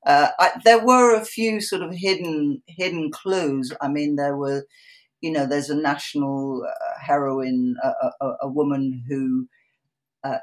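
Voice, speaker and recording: A woman with an English accent speaking reflectively about her own life as an interview guest, haltingly, repeating words as she thinks. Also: low voice. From England, in a Polish family. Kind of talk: reflective personal conversation.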